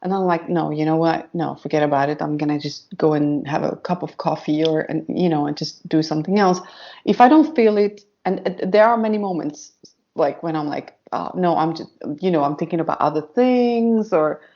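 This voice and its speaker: Woman guest, fairly low voice, halting second-language English, dull lo-fi sound. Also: warm tone. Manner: hesitant, searching for words, many fillers. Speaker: synth pop singer, guest. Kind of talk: casual interview chat.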